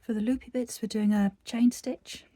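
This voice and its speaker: A woman who is croaky after a virus, teaching an embroidery craft tutorial in a chatty, meandering way with many fillers and restarts. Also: fairly high pitch, fairly even intonation, moderate pace, dark tone. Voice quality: husky.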